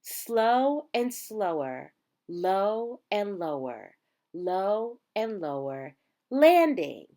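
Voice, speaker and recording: A woman reading a children's picture book aloud, with measured, dramatic phrasing and drawn-out words. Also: mid-range voice, big pitch swings, dark tone. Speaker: storybook reader.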